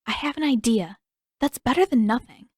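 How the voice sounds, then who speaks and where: A woman's voice: fairly high pitch, moderate pace, slightly rough, no room sound, studio quality, voice pushed up. A woman voicing a firm, reassuring teenage girl in a fan fiction audiobook reading.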